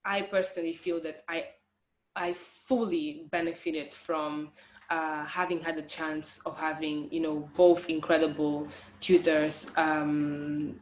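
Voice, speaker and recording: Young woman guest on a video call, lowish voice, roomy echo, talks thoughtfully with lots of "you know". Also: little pitch movement, slow, a little hiss or hum. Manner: thoughtful, many fillers and restarts. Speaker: guest, design graduate. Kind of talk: conversational interview answer.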